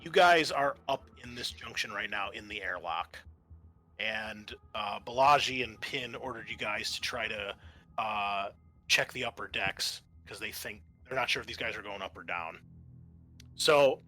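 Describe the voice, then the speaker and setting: Bright-voiced man running a tabletop game. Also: lowish voice, unhurried. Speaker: game master. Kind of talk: narrating a tabletop roleplaying game.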